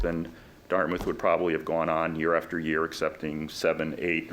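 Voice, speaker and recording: Panelist, male, very low voice, loose talk full of "you know", faint noise behind. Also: fairly bright tone. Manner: conversational, many "you know" fillers. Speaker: alumni panelist. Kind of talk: reflective panel discussion. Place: college event room.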